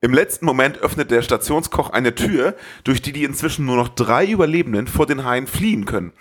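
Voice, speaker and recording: Man, podcast host, medium-pitched voice, scripted film recap narration. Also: expressive, fairly quick, slightly rough.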